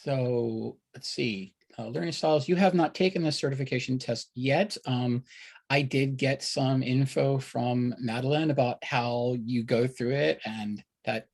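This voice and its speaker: A woman's voice: a bass voice, a little slow, a little mellow. A woman hosting a casual interview in a conversational way. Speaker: host.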